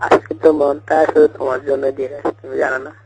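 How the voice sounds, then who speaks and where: A man's voice: medium pitch, level tone, fast talker, vocal fry, very noisy. A man with a Bangladeshi Bengali accent chatting casually and intimately on a recorded phone call. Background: music.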